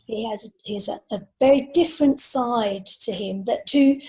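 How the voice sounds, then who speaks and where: A woman's voice: a little high, normal speed, mellow. A woman, an author on a podcast panel, chatting casually. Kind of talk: casual chat.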